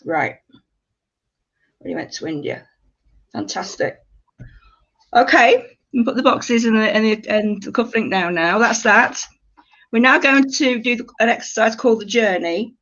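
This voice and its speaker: Creaky-voiced woman leading a workshop. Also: a little high, lively intonation, normal speed, pauses often, a bit bright, highs cut off. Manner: encouraging, explains by example. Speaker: creative writing workshop leader. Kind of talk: informal workshop instruction.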